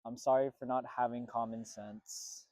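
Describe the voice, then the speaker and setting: A man reciting a reflective apology monologue, measured and earnest with repeated phrasing. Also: mid-range voice, steady tone, darkish tone, no echo.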